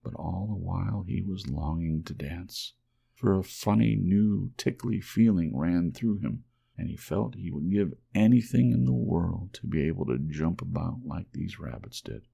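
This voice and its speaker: A man narrates a children's storybook as an ASMR audiobook. His voice is deep and husky, with a strong creak. He tells it gently, in hushed storytelling fashion. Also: expressive, measured pace.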